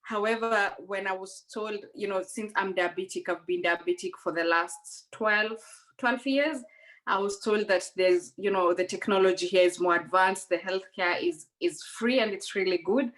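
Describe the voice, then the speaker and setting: A woman's voice: mid-range voice, moderate pace, a bit bright, poor audio. A young woman from Rwanda, raised in Kenya, speaking earnestly as a guest in a conversational interview, often restarting her sentences.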